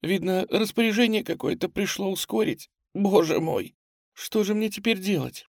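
A man voicing an excitable, childlike young girl in an audiobook, with breathless exclamations. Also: sits high, slow, dry recording, clean studio sound, voice pushed up, heavily acted. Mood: tearful. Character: round-faced excitable young girl, frightened and tearful. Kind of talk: audiobook character acting.

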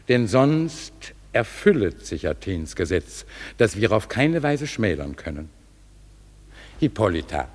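An actor playing a stately, authoritative duke who passes judgement in measured declamation in a classical verse radio drama. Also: male voice, mid-range voice. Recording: hall-like reverb.

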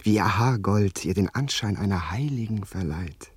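Husky, fastidious young society gentleman. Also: fairly low pitch, animated, no echo. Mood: smitten, hushed. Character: young upper-class Englishman, fastidious, smitten, grumbling about society. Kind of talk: German radio drama acting.

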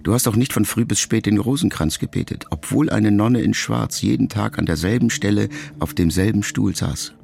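A man in a radio production reading a letter aloud as literary narration, quiet and reflective, with phrase endings that trail off softly. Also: on the low side, moderate pace, creaky, hardly any pauses.

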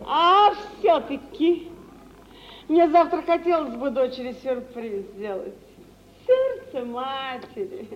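A woman's voice: sits high, very animated, slow pace, heavily acted. An actress playing a scheming middle-aged mother, effusive and ingratiating, in an old-fashioned theatrical style for radio. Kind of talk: 1940s Russian stage acting for radio. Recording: dull, hissy vintage radio play recording.

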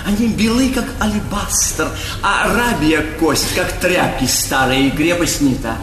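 An actor playing an obsequious, sanctimonious retainer of the minor gentry in a stage comedy, talking in a folksy, mock-solemn way. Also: male voice, a little high, measured pace, natural voice. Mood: fawning, solemn. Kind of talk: theatrical stage comedy acting. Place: theatre stage. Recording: echoey theatre stage, distant, hissy.

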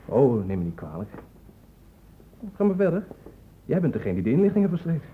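A man's voice: mid-range voice, sing-song, a little fast, slight background noise, lightly acted. An actor playing a stubborn, defiant young man in a radio play, with a dismissive, sullen manner. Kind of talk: Dutch radio play acting. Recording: muffled old radio play recording.

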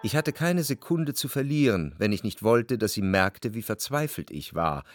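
A man's voice: lowish voice, very animated, normal speed, faint music behind. An actor playing the introspective narrator of a literary radio drama, a tormented, jealous lover who reflects precisely and expressively on his past. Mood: reflective. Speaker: first-person narrator.

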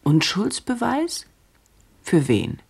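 An actress playing a probing journalist who narrates a radio drama in a measured, brooding manner. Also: female voice, medium pitch, very animated, gravelly, a little mellow, breathy edge, heavily acted. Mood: questioning. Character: probing female journalist, inward and unsettled, reading and narrating.

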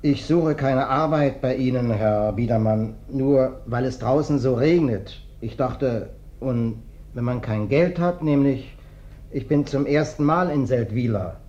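Casting: man playing a hulking ex-wrestler tramp for radio drama, obsequious, folksy, quietly threatening, mid-pitched, on a dull recording. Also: a little slow, lightly acted. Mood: humble, ingratiating. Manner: obsequious, folksy, wheedling, falsely humble. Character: big, ingratiating ex-wrestler drifter, slyly menacing. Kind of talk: German radio play acting. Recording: dull, band-limited radio play recording.

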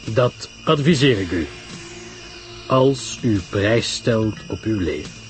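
A man's voice: fairly low pitch, lively intonation, slow, soft background music. A man voicing a grave, curt detective in a mystery story, speaking sharply with French interjections. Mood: stern warning. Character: grave, curt male detective giving stern advice.

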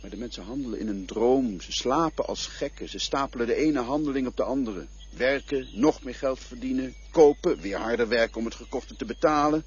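An actor playing an enigmatic male guide to death in a radio play, philosophical and quietly insistent. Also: mid-range voice, fairly quick, hardly any pauses, husky, highs cut off. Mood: musing. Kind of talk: radio drama acting.

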